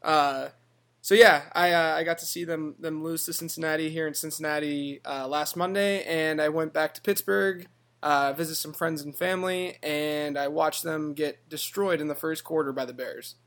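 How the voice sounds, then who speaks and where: A man co-hosting a casual sports podcast, his voice raspy and hoarse from yelling, talking upbeat with loud openings. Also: a little high, fairly even intonation, normal speed. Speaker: podcast co-host.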